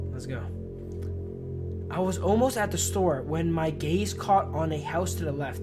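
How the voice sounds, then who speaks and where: A man livestreaming a game, reading its story text aloud and chatting in slang, stumbling over long words. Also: a little high. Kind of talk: narrating game text, casual chat with viewers.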